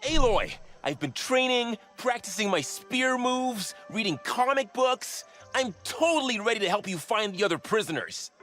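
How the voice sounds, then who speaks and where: A woman playing a chatty, eager young sidekick in an animated game, earnest and quirky. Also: fairly high pitch, moderate pace, hardly any pauses, soft background music. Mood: eager, friendly.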